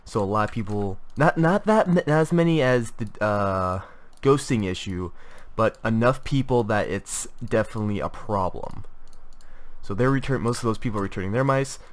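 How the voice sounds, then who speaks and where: A man's voice: on the low side, expressive, normal speed. A young man with a stuffy voice from a cold, reviewing gaming gear in a casual, rambling way with many fillers and restarts. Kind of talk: casual product review talk.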